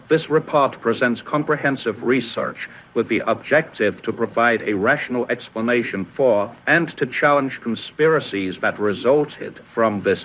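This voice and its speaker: A dry alien scientist, voiced by a man reads a formal report at a medium pitch. The delivery is clinical and dismissive, and the analog horror recording is muffled, with some noise. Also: lightly acted. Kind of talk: scripted scientific report reading. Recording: dull, noisy VHS-style audio.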